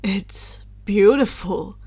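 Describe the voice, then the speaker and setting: A woman voicing an earnest, good-natured teenage boy who is a Dementor, breathy and sheepish, in a story reading. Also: medium pitch, very slow, dark tone, no room sound, full character voice. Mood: awed, content.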